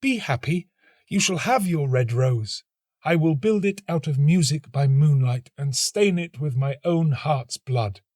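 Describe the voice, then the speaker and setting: A man's voice: a little high, animated, measured pace, darkish tone, no echo, studio quality, voice pushed up. A man voicing a small, selfless female nightingale in a storybook, speaking in an earnest, lyrical, passionate way. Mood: ardent tenderness. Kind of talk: storybook character acting.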